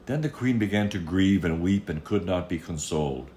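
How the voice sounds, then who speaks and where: A man telling a fairy tale aloud as an expressive storyteller. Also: low voice, moderate pace, a little hiss or hum.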